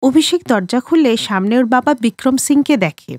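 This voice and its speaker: A woman's voice: high-pitched, animated, normal speed, a little mellow, no echo, studio quality. A woman reading scripted narration for a cartoon family story as its storyteller.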